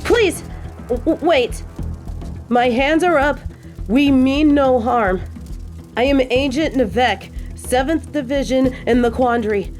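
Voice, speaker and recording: An earnest female agent, acted by a woman in an audio drama, set over clear background music. She is protective and quick to plead or swear, yet her delivery keeps a slow pace overall. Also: sits high, darkish tone, voice pushed up. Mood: urgent pleading. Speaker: field agent. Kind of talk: dramatic audio roleplay acting.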